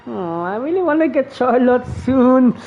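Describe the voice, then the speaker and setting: A woman's voice: high-pitched, expressive, normal speed, faint music behind. Dull, muffled Filipino-accented woman gamer. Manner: rambling, informal. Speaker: gaming video host. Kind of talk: casual game reaction commentary. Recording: muffled mic with faint noise. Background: faint noise.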